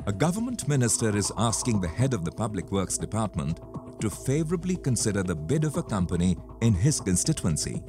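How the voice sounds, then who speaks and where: Low-voiced male quiz host, animated delivery. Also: a little fast, music in the background. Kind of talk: scripted quiz show presenting.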